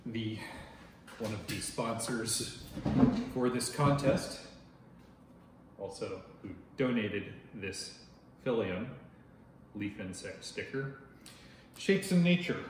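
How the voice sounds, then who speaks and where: A man in his forties from Oregon hosts an insect channel, chatting to the camera in a loud voice with lots of filler words. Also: on the low side, expressive, takes it slowly, long pauses. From Oregon, United States. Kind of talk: casual talk to camera. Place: dining room at home, indoors. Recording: very echoey room, faint noise.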